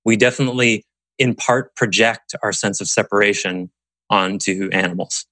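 Low-voiced reflective male podcast host. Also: moderate pace, pushed and bright, no echo. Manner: musing, asks rhetorical questions. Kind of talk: reflective group discussion.

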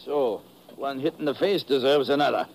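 A man's voice: medium pitch, moderate pace, gravelly, darkish tone. A man playing a helpful, slightly nervous truck driver in a 1950s radio drama, with a mild, hesitant manner. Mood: mild, pleased. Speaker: supporting actor in a radio drama. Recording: noisy old radio broadcast recording.

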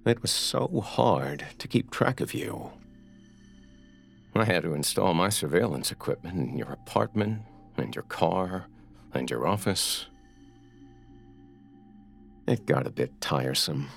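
A man playing a sadistic, possessive kidnapper and killer in a horror audiobook, speaking with menacing, mock-affectionate sweetness and a gravelly voice. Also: low-pitched, expressive, unhurried, long pauses, soft background music, heavily acted. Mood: smug. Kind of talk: dramatic horror audiobook acting.

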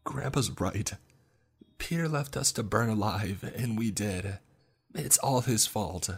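A man's voice: lowish voice, slow, vocal fry, slightly rough, voice pushed up, heavily acted. A man plays a thirteen-year-old ghost girl in a horror story. The voice is raised, sassy and petulant, edged with bitter blame. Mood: accusing, bitter.

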